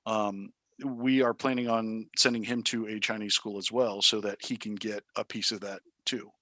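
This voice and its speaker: A man's voice: lowish voice, little pitch movement, normal speed, pushed and bright, dry recording. Male teacher in his thirties, from Taiwan, thoughtful and hesitant, dry close recording. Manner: thoughtful, many ums and uhs, restarts. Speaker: high school math teacher, interview guest. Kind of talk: casual interview answers.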